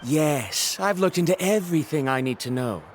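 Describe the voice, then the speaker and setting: An actor playing a formal, insistent scholar seeking adventure in a video game. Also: male voice, on the high side, animated, moderate pace, soft background music, close to an everyday voice. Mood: confident. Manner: formal, proper. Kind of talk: English video game voice acting.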